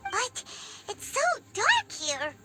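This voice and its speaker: A woman voicing a tiny, chatty floating fairy companion who talks in an excitable, whiny way. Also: very high voice, takes it slowly, exaggerated voice. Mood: puzzled. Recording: game cutscene with loud music.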